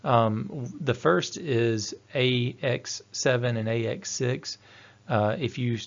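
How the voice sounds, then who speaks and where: A man's voice: mid-range voice, takes it slowly. A man giving an instructional technical presentation in a methodical, explanatory manner. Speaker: technical trainer. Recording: dull, lo-fi voice-over audio.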